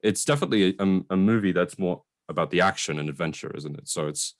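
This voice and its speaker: A man's voice: sits low, fast. A man co-hosting a film review show, debating in a casual, argumentative and informal way. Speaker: film review podcast co-host.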